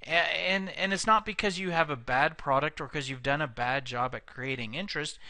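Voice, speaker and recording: A man explains sales prospecting in a casual teaching tone, often stopping to restart a phrase or add an "um". His voice is bright and crisp. The sound is dry and close, with no room echo. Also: on the high side, normal speed. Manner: conversational, frequent fillers and restarts. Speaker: sales trainer. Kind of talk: instructional video lecture.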